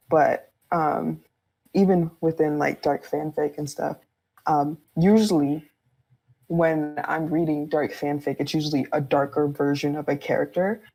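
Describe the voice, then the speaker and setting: A woman's voice: sits low, dark tone, breathy edge, rough recording. A woman co-hosting a casual podcast chat, candid and slangy with a wry edge.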